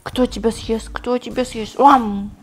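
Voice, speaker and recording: A woman's voice: on the high side, steady tone, a little slow. A young woman vlogging casually, playful and chatty as she talks to her dog and her viewers while opening toys, recorded on a lapel mic in a small tiled bathroom. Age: young adult. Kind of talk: casual vlog chat unboxing toys. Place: small tiled home bathroom, sitting in a bathtub.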